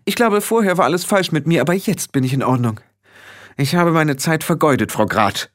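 A man's voice: fairly high pitch, animated, brisk, a bit bright, voice pushed up. A man reading a storybook aloud, voicing a headstrong young girl witch who fires off indignant questions. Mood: relieved.

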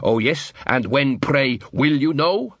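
A man's voice: mid-range voice, lively intonation, slow pace, dry recording, exaggerated voice. A man voicing an accusing, stubborn dwarf in an audiobook, scornful and indignant. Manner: shouting, scornful. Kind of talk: audiobook character voice.

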